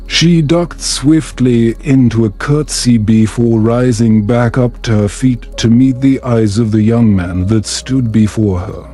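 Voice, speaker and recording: A man narrating a fantasy bedtime story in a calm, soothing, measured way. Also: medium pitch. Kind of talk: calm scripted fantasy audiobook narration for sleep.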